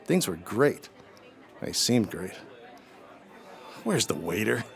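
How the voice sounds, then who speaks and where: A man's voice: fairly low pitch, sing-song, takes it slowly, creaky, a faint crowd, exaggerated voice. A man acting a bitter, impatient, hard-drinking husband in a radio drama. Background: music under the voice.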